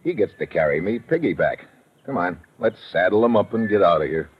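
Deep-voiced, tough wisecracking secret agent. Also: male voice, animated, a little fast. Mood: wry, amused. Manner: clipped, slangy, sardonic tough talk. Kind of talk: 1950s radio adventure acting. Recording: dull, noisy old radio recording.

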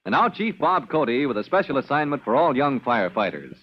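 A man's voice: mid-range voice, big pitch swings, brisk. A male announcer introducing a radio drama episode with a dramatic delivery. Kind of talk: scripted radio narration. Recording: dull old radio recording.

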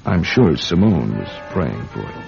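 A low, gravelly American spy. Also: male voice, big pitch swings, unhurried, heavily acted. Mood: measured, grave. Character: American intelligence agent narrating his own case, tense, smitten. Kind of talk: radio drama acting, first-person narration. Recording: dull radio drama recording, music underneath.

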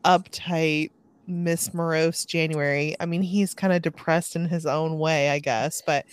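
A woman chatting casually as a podcast co-host, telling things in an animated way and acting out quotes. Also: low voice, unhurried, clear, resonant tone, dry recording. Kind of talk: casual chat about a novel.

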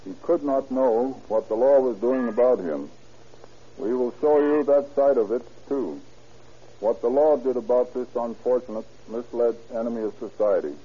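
Male police captain, very dark husky voice, solemn stiff reading, formal 1930s radio address, muffled recording. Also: mid-range voice, normal speed. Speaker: police captain, guest speaker.

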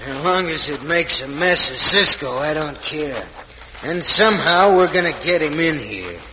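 An actor playing a surly, scheming Old West villain with an American accent in an old radio western. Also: male voice, a little high, animated, moderate pace, pushed and bright, a little hiss or hum. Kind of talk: 1950s radio western acting. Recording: echoey, dull old radio recording.

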